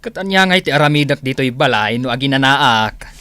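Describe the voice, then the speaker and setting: A man's voice: mid-range voice, lively intonation, a little fast, pushed and bright, clear, resonant tone, no echo. A man acting a stern, hardworking farmer and older brother in a radio drama, grumbling with an Ilocano accent. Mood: weary.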